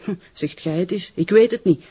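An actress with a Flemish accent playing a chatty, plaintive housekeeper with a husky voice in an audio drama. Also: female voice, fairly low pitch, moderate pace, mellow, muffled recording. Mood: aggrieved, gossipy. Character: plain-spoken, gossipy female housekeeper, aggrieved.

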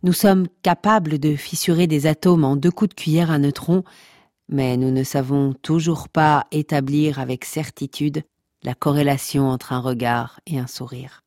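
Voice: mid-range voice, fairly even intonation, normal speed, darkish tone, no room sound, studio quality, close to an everyday voice. Une personne qui joue la narration omnisciente d'une fiction audio comique, pompeuse, théâtrale, taquine avec son auditoire. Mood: teasing, solemn. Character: pompous, mock-scholarly omniscient narrator addressing listeners. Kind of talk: scripted comic fiction narration.

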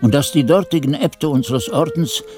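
An actor playing a pious, frail old Benedictine monk who narrates a radio drama in solemn, archaic, formal phrases. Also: male voice, a little high, normal speed, a little mellow, faint music behind, close to an everyday voice. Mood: reflective. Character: pious aged Benedictine monk, frail chronicler of his youth.

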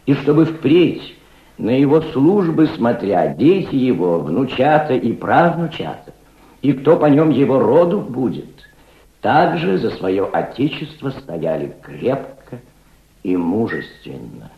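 A man's voice: mid-range voice, sing-song, slow. An actor playing a proud, cultured middle-aged aristocrat turned revolutionary diplomat, reflective, oratorical and fatherly, in a radio drama. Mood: proud, declaiming. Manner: reflective, oratorical, fatherly toward a young listener. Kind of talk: Soviet radio drama acting. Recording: dull, roomy old radio play recording.

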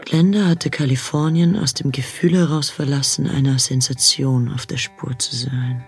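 A man's voice: mid-range voice, fairly even intonation, normal speed, gravelly, husky, faint music behind. An actor playing a pensive, disillusioned filmmaker, a man who narrates a radio drama in a reflective, questioning manner. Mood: matter-of-fact.

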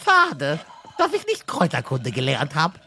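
A woman voicing a dim-witted, easily distracted male warrior in a parody audio drama, talking in a slow, dreamy, simple-minded way. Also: sits low, wildly swooping pitch, sharp tone, slight background noise, exaggerated voice.

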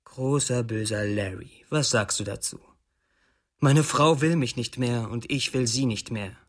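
Radio crime drama: male actor as young upstart gangster, domineering and sneering, mid-pitched voice, crude menace. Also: a little slow, natural voice. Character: menacing young male drug-scene rival seizing power. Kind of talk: radio play acting.

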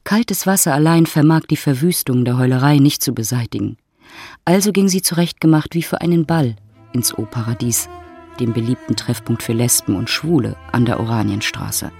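A man narrating a literary audiobook in a measured, dramatic storytelling manner. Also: mid-range voice, gravelly, darkish tone, soft background music.

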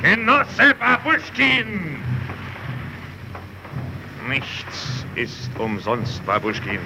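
An actor playing a weary, grave Soviet ship's captain in a declamatory vintage radio drama style. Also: male voice, on the high side, wildly swooping pitch, drawn-out words, vocal fry, over loud music, exaggerated voice. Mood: solemn, rousing. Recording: noisy old radio play recording.

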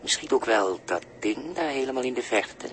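A man acts out a cool, sardonic crewmate in a vintage radio play. He speaks at a middling pitch, coaxing and needling in a matter-of-fact way. The recording has clear old noise. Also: lively intonation, normal speed, lightly acted. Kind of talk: 1960s Dutch radio drama acting.

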